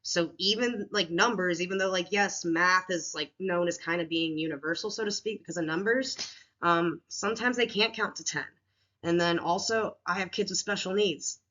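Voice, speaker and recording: A woman teacher with a deep voice talks casually through a classroom lesson plan, with many fillers and restarts. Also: moderate pace, pushed and bright, highs cut off.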